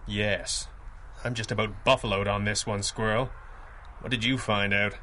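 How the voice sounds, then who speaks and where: Male for masked crime fighter, old-time radio serial. Earnest, courteous, brainy, with a sharp, forceful edge. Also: lowish voice, flat delivery, a little hiss or hum. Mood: grim, brooding. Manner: crisp, earnest, formal, deliberate phrasing. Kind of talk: 1930s-style radio superhero drama acting.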